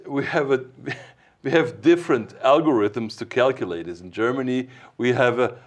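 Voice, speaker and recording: A man with a mid-pitched voice speaks as a technical expert explaining things informally. His phrasing is halting, built up step by step in short pieces.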